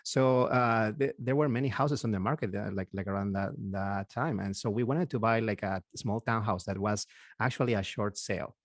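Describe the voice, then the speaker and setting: A man from Peru with a Peruvian Spanish accent, in his forties, chatting as an interview guest, halting and repeating words, laughing often. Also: lowish voice, no echo, studio quality. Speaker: guest, physician and investor. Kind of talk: casual interview chat.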